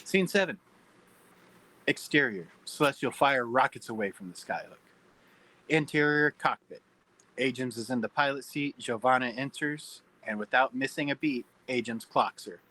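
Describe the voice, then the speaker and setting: A man's voice: mid-range voice, normal speed, bright, forceful tone, low-quality recording. A man serving as narrator, reading screenplay stage directions at a table read.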